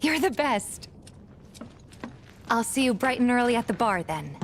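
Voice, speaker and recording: An actress playing a friendly, caring young woman in a video game, speaking gently and conversationally with slight hesitations. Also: a little high, big pitch swings, measured pace, slight background noise. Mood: apologetic, encouraging. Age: young adult. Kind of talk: video game cutscene voice acting. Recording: background music under dialogue. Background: game music.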